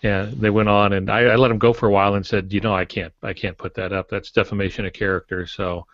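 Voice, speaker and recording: Low-voiced man, dry close lo-fi sound. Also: level tone, fast talker, fairly bright tone, no room sound. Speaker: podcaster. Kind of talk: casual chat, anecdotes. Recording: dull, close dry audio.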